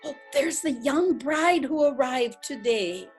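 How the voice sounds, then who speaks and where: A woman playing a modest, elderly widow, mild and gently reproachful, in a readers theatre play. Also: high voice, lively intonation, slow pace, soft background music.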